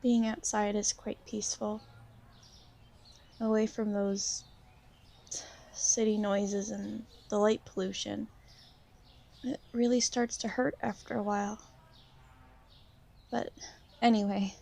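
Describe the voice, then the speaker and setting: A woman playing a shy, gentle young vampire in an ASMR roleplay, speaking softly and hesitantly to the listener. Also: mid-range voice, little pitch movement, gravelly. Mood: calm, explaining. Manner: hesitant, soft-spoken, gently halting. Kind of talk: ASMR roleplay, soft intimate talk to the listener.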